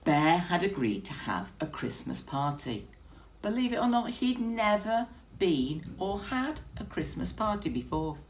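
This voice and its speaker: Lady teacher doing storytime for little kids, slow and really lively, expressive up and down. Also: on the low side, slight background noise. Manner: expressive, addressing young children directly. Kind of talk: children's storytime read-aloud.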